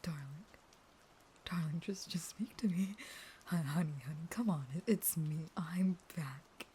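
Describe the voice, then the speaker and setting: A low, slow, breathy vampire wife, acted by a woman in an intimate audio roleplay with faint noise behind her. She is starving and feral underneath, yet pleads in halting whispers, manipulative and unstable. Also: warm tone, lightly acted. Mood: coaxing, pleading. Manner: halting, stammering pleas in whispers.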